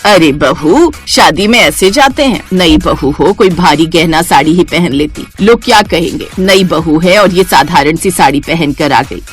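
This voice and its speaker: A woman's voice: on the low side, lively intonation, measured pace, hardly any pauses, voice pushed down. A woman voicing a nagging, middle-aged mother-in-law who lectures about family honor, in a cartoon story. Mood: scolding. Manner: scolding, lecturing. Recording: dry close recording with faint music.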